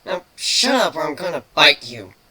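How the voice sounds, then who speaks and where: A performer voicing a feisty, defensive female changeling with a sharp tongue in a dramatic story reading. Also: mid-range voice, big pitch swings, moderate pace, a crowd in the background, voice pushed up, natural voice. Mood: sullen, gruff. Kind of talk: dramatic fan fiction reading.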